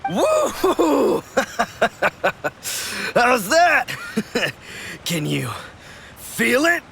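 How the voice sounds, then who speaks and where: Creaky, theatrical possessed young man. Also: extremely high pitch, huge pitch swings, sharp tone, soft background music, exaggerated voice. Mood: manic boasting. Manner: drawn-out theatrical delivery, swinging from boastful to whimpering. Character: swaggering possessed young man, manic spirit flipping to pitiful pleading.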